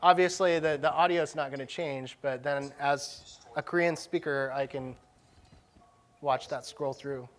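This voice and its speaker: This is a man with a fairly high-pitched voice, giving a live software demonstration as a conference presenter. He talks casually and uses slang freely, more like chatting with colleagues than lecturing. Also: steady tone, measured pace. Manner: informal, slangy. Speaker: conference presenter, technology director. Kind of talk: casual live tech demo talk. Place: live conference session room.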